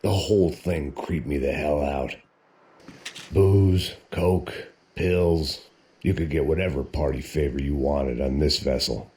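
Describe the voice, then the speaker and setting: An actor playing a jaded, hard-drinking middle-aged American action hero, narrating in a deadpan, sardonic noir style. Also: male voice, rumbling low, slow. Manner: world-weary, deadpan, sardonic.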